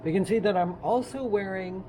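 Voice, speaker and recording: A man giving an informal, halting walk-around tech demonstration, thinking aloud as he goes. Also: high-pitched. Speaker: presenter of a tech demo. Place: makerspace woodworking and tool shop. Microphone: wireless lavalier, sometimes built-in computer mic. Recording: wireless lav in noisy workshop.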